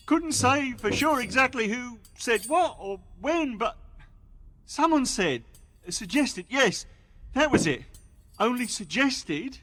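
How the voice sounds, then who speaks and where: A man playing a defensive captive office worker who pleads innocence and shifts blame haltingly in a radio play. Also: extremely high pitch, fairly bright tone, full character voice.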